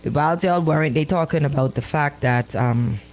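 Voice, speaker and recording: A woman's voice: low voice, normal speed, a little mellow. A woman co-hosting radio banter, opinionated and emphatic, hammering points home with repeated phrases and rhetorical questions. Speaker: radio show co-host.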